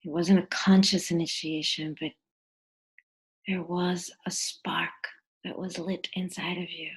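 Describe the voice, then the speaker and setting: A woman guides a meditation in a lowish, husky voice. Her delivery is soft and breathy, often sinking toward a whisper. She speaks gently and deliberately, leaving pauses between short phrases. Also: little pitch movement.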